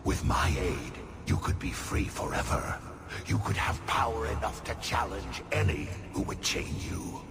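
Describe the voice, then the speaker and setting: An actor playing a proud demon tempter in a video game, gravelly and theatrical, insinuating and scornful as he speaks. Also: lively intonation, measured pace. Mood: tempting. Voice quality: gravelly, creaky, very low. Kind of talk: dramatic video game acting. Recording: loud music, long reverb.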